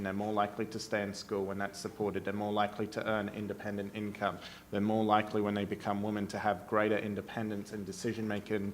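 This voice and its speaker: A man's voice: fairly low pitch, slight background noise. Australian man speaking on a panel. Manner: earnest, thinks aloud with many "you know"s. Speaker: panelist, advocacy group executive. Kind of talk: panel discussion. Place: conference hall.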